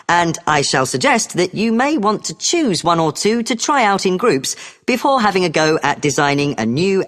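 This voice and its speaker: British female lecturer, lower register, animated intonation, explanatory scripted delivery, reverberant hall sound. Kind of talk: scripted academic lecture for a listening test.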